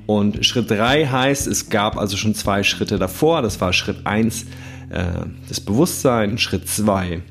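A man hosting a self-help podcast, speaking calmly and thoughtfully with frequent fillers while he has a cold and coughs now and then. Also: on the low side, slow pace, faint music behind. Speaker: podcast host.

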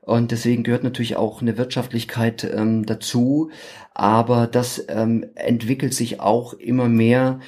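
A man in his forties with a Thuringian German accent, a podcast guest chatting casually and haltingly with many fillers and restarts. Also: mid-range voice, flat delivery, darkish tone. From eastern Germany. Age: 40s. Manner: hesitant, many ums and restarts. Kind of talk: casual interview chat.

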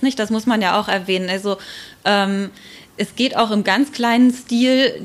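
A woman explaining things informally as an expert guest in an interview, with frequent hesitant pauses. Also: mid-range voice, a little hiss or hum.

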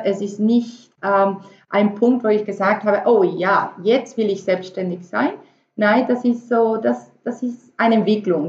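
A middle-aged woman from Venezuela with a Spanish accent, telling her story casually and haltingly as a non-native speaker, dropping in English business words. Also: mid-range voice, a little hiss or hum. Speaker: interview guest, marketer. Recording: muffled, echoey room.

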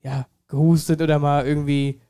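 A man's voice: a little high, flat delivery, brisk, dark tone, clean tone. Stuffy-nosed chatty man. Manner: chatty, laughs often, rambling restarts. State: slightly stuffy with a cold. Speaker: podcast co-host. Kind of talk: casual chat. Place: home studio, seated in armchair. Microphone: headset.

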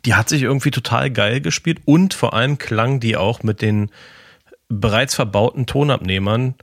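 A man with a Berlin accent telling a relaxed, slangy story as a podcast co-host. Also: medium pitch, moderate pace, no echo, clean studio sound. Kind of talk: casual chat.

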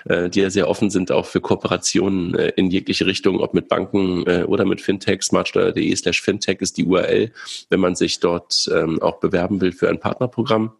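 A man's voice: low-pitched, level tone, brisk, creaky, slightly rough. A man hosting a podcast in casual chat, stuffed-up with a cold, hesitant and laughing often. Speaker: podcast host.

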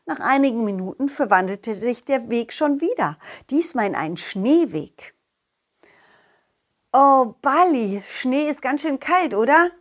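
Casting: upbeat, loyal cartoon dog, voiced by a woman, highish voice, unhurried, playful storytelling for children's audio play. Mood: cheerful, narrating. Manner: playful, expressive, addressing a companion. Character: cheerful, confident, loyal talking dog telling his adventures.

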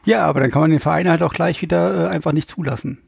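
A man's voice: fairly high pitch, quick pace, vocal fry, breathy edge, no room sound. A man hosting a podcast, chatting in a colloquial, informal way. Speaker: podcast host. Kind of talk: casual chat.

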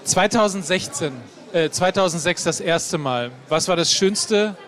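Male live-show host, high-pitched, jokey and quick with the banter. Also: a bit bright, some chatter behind. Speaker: podcast host. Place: live event.

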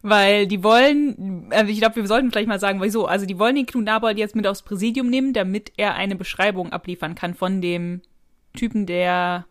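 A woman's voice: medium pitch, brisk, no room sound. Woman chatting, close dry sound. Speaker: podcast co-host. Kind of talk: casual conversational discussion.

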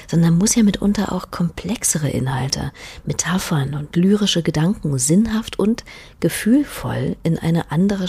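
A woman presenting a radio feature, reading her questions and links in a soft, measured, half-whispered way. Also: fairly low pitch, husky. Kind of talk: scripted radio feature narration.

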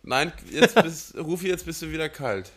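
Male co-host for a chat podcast, pitch a bit high, loose banter among friends. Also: animated, moderate pace, a bit bright.

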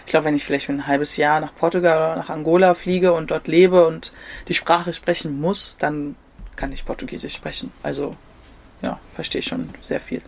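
A woman's voice: low voice, fairly even intonation, moderate pace, creaky, darkish tone. A young woman from Germany, talking casually as an interview guest, hesitant with many fillers and frequent laughs. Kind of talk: casual conversation.

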